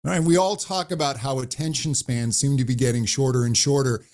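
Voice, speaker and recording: Voice coach guy talking in an interview, upbeat, sings and swoops to show a point. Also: brisk. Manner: animated, demonstrates pitch with his voice. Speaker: voice coach guest. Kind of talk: conversational interview.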